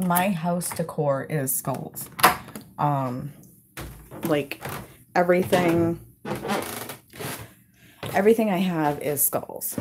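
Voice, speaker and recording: A woman with a low, deep-set voice and a Worcester, Massachusetts accent, rambling through a casual live-stream chat. She talks bluntly and swears freely. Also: vocal fry, background noise. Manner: blunt, profane and chatty. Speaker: YouTuber hosting a live stream.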